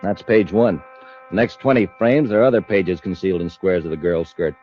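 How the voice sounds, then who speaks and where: A scheming, boastful male crook played by a radio actor in a vintage space serial. His voice sits low, and the old recording is dull and lo-fi. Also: fairly quick, a little mellow, faint music behind, close to an everyday voice. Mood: smug, boastful. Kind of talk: old-time radio sci-fi acting.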